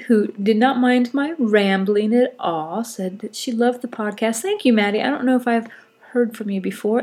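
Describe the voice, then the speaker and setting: A woman's voice: on the high side, a little mellow. A middle-aged woman with a stuffy nose from a cold, hosting a solo podcast in a casual, rambling way and often correcting herself. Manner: chatty and rambling, with self-corrections. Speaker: podcast host.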